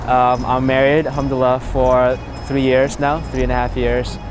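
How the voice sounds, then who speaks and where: A man in his twenties from Indonesia, a native Sundanese speaker, telling his life story in a relaxed way, pausing often on fillers. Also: mid-range voice, background noise. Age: 20s. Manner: relaxed, frequent filler pauses. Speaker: interviewee. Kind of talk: casual personal storytelling.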